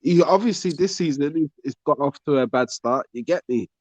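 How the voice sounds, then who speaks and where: A man's voice: fairly high pitch, brisk, darkish tone, no room sound. A man with a London accent in casual football banter, slangy and insistent, often repeating himself, on a dull lo-fi microphone. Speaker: podcast panellist.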